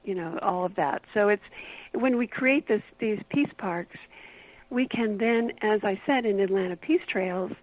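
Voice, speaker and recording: A woman's voice: mid-range voice, unhurried. A woman author calling in as a radio guest, explaining her ideas in an upbeat way. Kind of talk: interview answers. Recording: tinny phone line.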